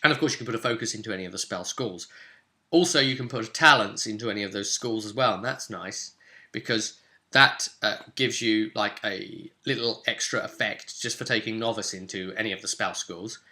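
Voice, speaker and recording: A man with a very bright, sharp-edged voice pitched a little low. He explains rules in a casual, chatty way, leaning on key points and tacking questions onto his statements as he guides the listener. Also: fairly quick. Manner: chatty, conversational, emphatic, adds tag questions. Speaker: tabletop game guide presenter.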